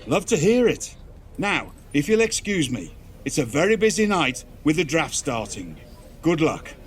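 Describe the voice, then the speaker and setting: A man's voice: fairly high pitch, sing-song. A man playing a formal, eloquent wrestling authority figure in a video game, an encouraging mentor. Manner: formal, eloquent, deliberate wording. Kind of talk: dramatic video game dialogue. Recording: faint background noise.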